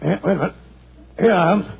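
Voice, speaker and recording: An actor playing Doctor Watson, a bluff, easily startled companion, in a 1940s radio play. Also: male voice, high voice, fast talker, gravelly, slightly rough, full character voice. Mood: flustered. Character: Doctor Watson, flustered loyal male companion. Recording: tinny 1940s radio broadcast.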